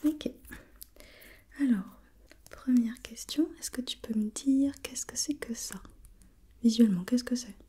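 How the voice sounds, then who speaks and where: Whispering woman, soft and mellow. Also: fairly high pitch, unhurried, long pauses. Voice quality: breathy unvoiced whisper. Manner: gentle step-by-step questioning, repeating softly. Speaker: examiner in a role-played visual test. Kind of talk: ASMR role play, whispered.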